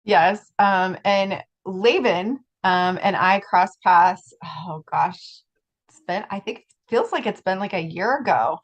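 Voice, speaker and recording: A woman's voice: medium pitch, slow, lo-fi sound. A woman hosting a podcast, speaking in a casual, conversational interview style with effusive enthusiasm.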